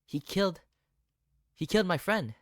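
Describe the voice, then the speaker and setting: A man's voice: high voice, expressive, normal speed, fairly bright tone, no room sound, studio quality, pitched above the performer's own voice. A man voicing an anxious, earnest young protagonist in a horror visual novel. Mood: panicked. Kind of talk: dramatic game character reading.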